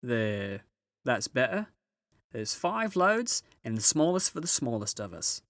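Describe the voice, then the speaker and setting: A man's voice: mid-range voice, sing-song, a bit bright, no echo, studio quality. A man voicing a bustling, unflappable, motherly female beaver in a storybook, with folksy, fussy talk. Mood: reassuring, bossy. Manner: folksy, coolly unhurried.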